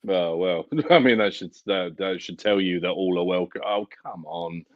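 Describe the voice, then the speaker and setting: A man's voice: low voice, moderate pace, no room sound. A man co-hosting a live football watchalong, chatting casually with wry joking asides. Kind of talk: casual live-stream chat. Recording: dull lo-fi stream audio.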